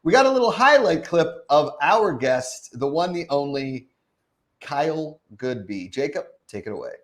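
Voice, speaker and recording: A man's voice: a little high, big pitch swings, normal speed, slight background noise. A man hosting a live talk show in an informal, joking way. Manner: jokey, informal. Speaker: show host.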